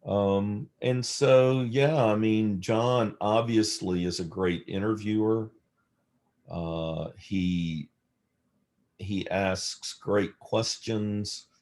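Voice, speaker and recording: A mature man with a low, deep voice answers as an interview guest. He speaks slowly and reflectively, choosing his words haltingly as he tells casual stories. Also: steady tone, a little mellow. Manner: hesitant, measured, halting delivery.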